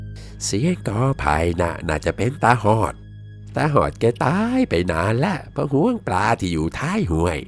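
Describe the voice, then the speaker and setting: An old village grandmother put on by a male narrator recounts ghost lore matter-of-factly. The voice sits higher, with soft music underneath. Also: sing-song, normal speed, creaky. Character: gossipy village grandmother explaining a haunting.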